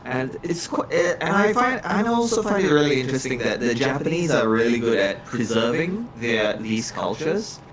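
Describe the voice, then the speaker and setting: A man's voice: medium pitch, lively intonation, normal speed, hardly any pauses, crowd noise. A man co-hosting a livestream in casual chat, stumbling and repeating words as he goes. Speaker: livestream co-host. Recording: faint background noise.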